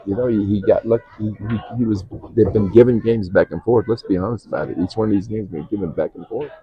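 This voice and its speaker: A man's voice: lowish voice, fast, slightly rough, muffled recording. A man commentating on a pool match in a casual, jokey way, swearing and laughing often. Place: pool hall.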